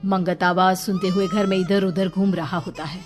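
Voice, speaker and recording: A woman with a slightly low voice tells a horror tale as scripted narration. Her delivery is dramatic, and clear music runs under the voice. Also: fairly even intonation, moderate pace, darkish tone.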